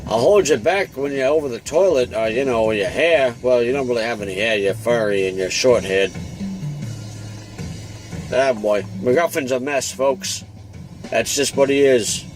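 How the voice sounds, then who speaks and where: Guy doing a old timey private dick monologue, mid pitch, slangy n grumbly, rambles, jazz sax playing behind. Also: moderate pace, lightly acted, rough recording. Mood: wry, grumbling. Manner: slangy, offhand, grumbling, self-interrupting. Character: wisecracking, rambling, world-weary old-time private detective man. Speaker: narrator and host of a comic monologue. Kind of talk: noir private-eye monologue, improvised rambling. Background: jazz music with saxophone.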